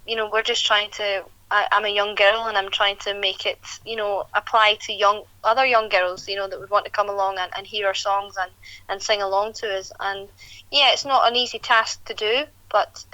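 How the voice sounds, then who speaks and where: A woman's voice: medium pitch, bright, forceful tone, no echo, dull audio. A young woman, a country singer chatting at length in an interview, often restarting her sentences. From Scotland.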